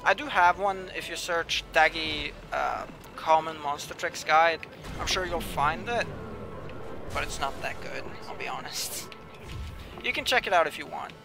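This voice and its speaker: Young male gamer, slightly high, a bit whiny, chatty and mumbly, music running underneath. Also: a little slow. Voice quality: says he has a whiny voice. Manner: chatty, mumbles asides to himself while playing. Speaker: game streamer. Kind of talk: casual live-stream commentary, reading chat. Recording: clear music playing behind. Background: background music.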